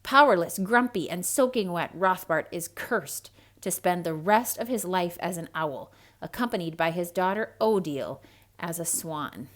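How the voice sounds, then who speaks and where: A woman reading a storybook aloud to children in a theatrical, expressive way, with hushed asides to young listeners. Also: lowish voice, moderate pace.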